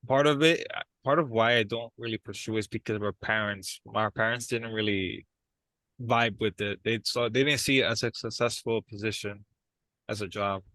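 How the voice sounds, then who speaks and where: A man playing a reticent, agreeable brother in an improvised session over a video call, speaking haltingly. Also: lowish voice, sharp tone, dry recording. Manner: halting, hesitant. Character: reticent brother, an aspiring drummer, mild and agreeable. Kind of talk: improvised role-play dialogue.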